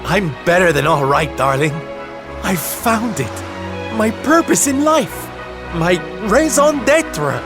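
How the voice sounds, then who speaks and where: An actor playing a flamboyant, big-hearted circus performer in a video game, camp, theatrical and gushing. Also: male voice, on the high side. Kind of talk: video game cutscene acting. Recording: orchestral game music behind.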